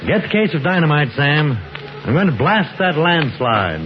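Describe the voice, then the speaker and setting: A man's voice: on the high side, very animated, moderate pace, music in the background. A man playing a genial, adventurous archaeology professor in a 1940s radio drama, articulate and given to lecturing. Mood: grave, lecturing. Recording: muffled, noisy 1940s radio recording.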